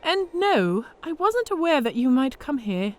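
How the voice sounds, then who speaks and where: A woman's voice: high voice, very animated, unhurried. A woman playing a smug female orc centurion in an audio drama, speaking with mock-sweet politeness and cool menace. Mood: probing, menacing.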